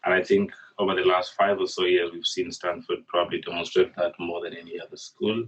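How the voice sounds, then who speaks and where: A young man from Kenya answering panel questions in a measured way, with frequent fillers. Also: deep voice, flat delivery, fairly bright tone, a little hiss or hum. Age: young adult. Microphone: video call. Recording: distant, dull video-call audio.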